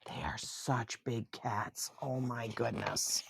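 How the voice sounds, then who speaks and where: A woman's voice: rumbling low, fairly even intonation, takes it slowly, creaky, slightly rough, no room sound. An older woman reading picture books aloud as host, with effusive, exclaiming asides spoken straight to young listeners. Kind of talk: storybook read-aloud with chatty asides.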